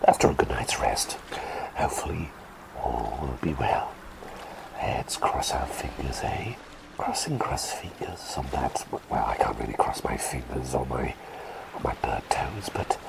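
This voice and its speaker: A man's voice: rumbling low, gravelly, full character voice, poor audio. A man voicing a quirky, eccentric male plague doctor who has turned into a small talking bird, chatting and rambling in a whispered roleplay. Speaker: ASMR roleplay performer.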